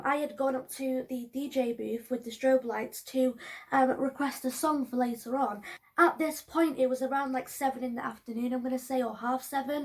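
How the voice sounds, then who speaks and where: A woman in her early twenties, hoarse from allergies, talking to camera in a chatty, rambling way with many ums and restarts. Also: high voice. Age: early 20s. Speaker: vlogger. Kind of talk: casual talk to camera.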